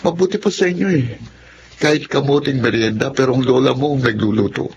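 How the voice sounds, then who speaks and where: A man's voice: medium pitch, brisk, natural voice. A man playing a lonely, sulky rich student in a Tagalog radio drama, earnest and plaintive, with a Filipino accent. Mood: hurt, plaintive. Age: young adult. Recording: muffled, clearly noisy radio drama.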